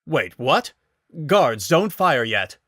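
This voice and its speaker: A man voicing a wary, excitable male scientist who talks in a loud, demanding, urgent way in a dramatic story reading. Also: mid-range voice, takes it slowly, no room sound, studio quality, pitched above the performer's own voice, heavily acted.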